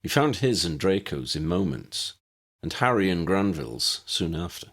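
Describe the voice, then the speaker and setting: A man's voice: low voice, sing-song, moderate pace, a bit bright. Expressively intoned male audiobook narrator. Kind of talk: expressive scripted narration of a fantasy story.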